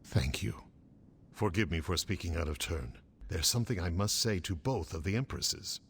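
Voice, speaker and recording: A deep-voiced, gravelly military captain from a fantasy game. He is middle-aged, solemn and devoted, speaking in an earnest, measured way. A faint rumbling noise sits behind him. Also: animated. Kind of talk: fantasy game cutscene acting.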